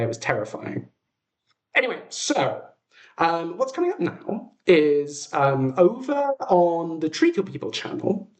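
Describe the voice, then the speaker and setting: Male streamer, fairly high-pitched and expressive, informal and rambling with self-deprecating asides, recorded in an echoey makeshift setup. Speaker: livestream host and audio drama creator. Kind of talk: casual livestream chat. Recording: echoey untreated room.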